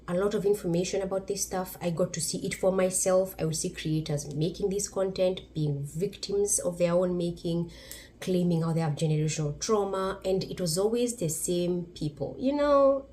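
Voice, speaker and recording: A woman's voice: fairly low pitch, a little mellow, slight background noise. A woman from Kenya with a Kenyan English accent, giving blunt, emphatic opinion commentary as a vlogger. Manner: emphatic, blunt, laughs between points.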